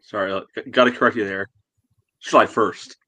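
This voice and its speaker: A man co-hosts a casual sports recap in a fairly low but forceful, bright voice. The sound is dry and close, and his phrasing is halting and full of verbal padding. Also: fairly even intonation, dry recording. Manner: halting, repeats you know. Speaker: soccer fan podcast co-host.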